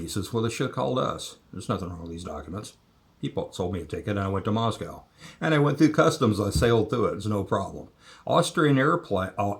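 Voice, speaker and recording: A man vlogger telling a travel story casually, rambling and correcting himself with many restarts. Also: fairly low pitch.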